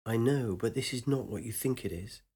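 Hushed, hesitant young man, as a narrator does him. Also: mid-range voice, normal speed, husky, lightly acted. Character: quiet, fearful secretive young man.

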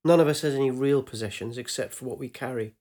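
A man's voice: medium pitch, fast talker, dark tone, lightly acted. A man voicing a bold, reckless young woman surviving in a ruined city, playful and pushy in a spoken story. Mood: chatty.

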